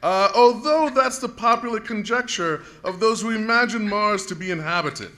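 Man doing a skeptical old-radio-drama professor, high bright voice, measured and scholarly. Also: lively intonation. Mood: dryly assured. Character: assured, skeptical, learned male astronomy professor. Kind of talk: 1930s radio drama acting, live performance. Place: staged performance in a planetarium dome.

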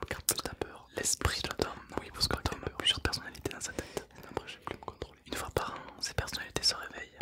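Whispering young man playing a gleeful ghoul. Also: quick pace. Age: 20s. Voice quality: breathy whisper. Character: gleefully gruesome brain-eating male zombie and psychopath. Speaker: ASMR roleplay performer. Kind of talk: whispered ASMR Halloween roleplay.

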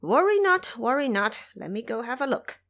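A woman's voice: a little high, big pitch swings, normal speed, a bit bright, pitched above the performer's own voice. A woman voicing a boastful, hot-tempered male monkey disciple in an audio drama, brash and impatient. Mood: alert, reporting.

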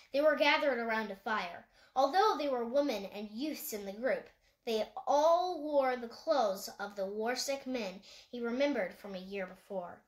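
A woman's voice: on the high side, reverberant, rough recording. A woman reading a children's animal novel aloud as scripted audiobook narration. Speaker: audiobook reader.

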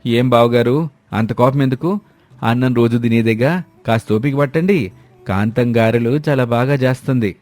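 A man's voice: mid-range voice, fairly even intonation, moderate pace, a little mellow, soft background music, natural voice. A man voicing a pampered, lazy brother-in-law, pompous and demanding, in a cartoon moral story. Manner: self-indulgent, demanding.

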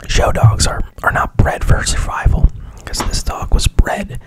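A man recapping a film in a soft whisper, rambling, swearing casually and pausing with fillers. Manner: rambling, profane, hesitant.

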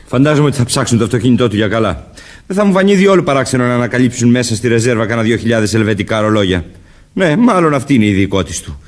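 A man's voice: mid-range voice, expressive, lightly acted. An actor plays a young husband in a radio play. His mid-range voice moves up and down freely. He sounds fond, good-humoured and playfully teasing. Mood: wry. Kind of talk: Greek radio play acting.

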